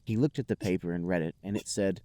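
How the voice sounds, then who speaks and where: Low-voiced man narrating comic fan fiction. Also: quick pace, dark tone. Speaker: podcast host.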